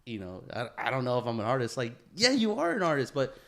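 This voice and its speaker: An American man co-hosting a podcast, chatting casually and peppering his animated banter with slang. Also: medium pitch, fast talker. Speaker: podcast co-host. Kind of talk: casual chat.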